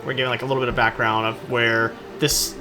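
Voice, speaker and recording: A man's voice: mid-range voice, little pitch movement, fast. A man doing casual, rambling commentary on game cutscenes in a joking, self-deprecating, informal way. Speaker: YouTube video host. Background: music.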